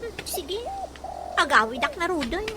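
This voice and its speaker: A woman's voice: very high voice, sing-song, normal speed. An actress playing a clingy, discontented young woman with a plaintive manner in a radio drama. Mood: upset. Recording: very noisy, with ambient sound.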